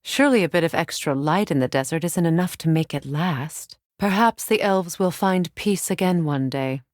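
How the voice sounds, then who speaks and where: Actress as regal goddess warrior, female, middle-aged, solemn, formal measured phrasing, fairly low pitch, dry studio sound, dramatic game acting. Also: a little mellow, no room sound, lightly acted. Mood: solemn, reflective.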